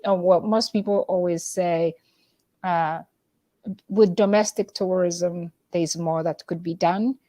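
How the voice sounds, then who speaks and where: A woman's voice: fairly low pitch, mellow, dry recording. A woman from Uganda hosting a casual livestream, speaking hesitantly with many fillers and restarts. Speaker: livestream host.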